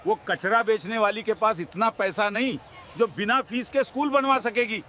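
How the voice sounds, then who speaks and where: A man's voice: sits high, moderate pace, a bit bright. A man playing a suspicious village gossip in a story, sceptical and dismissive. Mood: suspicious, scornful. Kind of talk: acted story dialogue. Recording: clear outdoor-like background noise, clicks.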